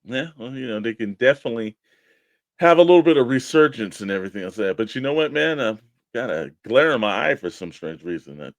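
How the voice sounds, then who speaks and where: A man's voice: mid-range voice, big pitch swings, fast, no echo. A man co-hosting a hobby show in casual discussion, with a loose, meandering way of phrasing things. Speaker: co-host of a toy-collecting show.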